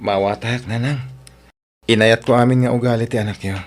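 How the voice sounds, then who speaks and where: A man's voice: medium pitch, fairly even intonation, a little fast, faint music behind, natural voice. A man playing a devoted, long-suffering young suitor and dutiful son in an audio drama, earnest and pleading.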